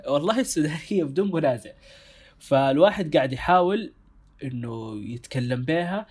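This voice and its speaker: Fast-talking young Sudanese man. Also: a little high. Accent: Sudanese Arabic. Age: young adult. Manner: animated, emphatic storytelling. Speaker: co-host. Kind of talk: casual discussion.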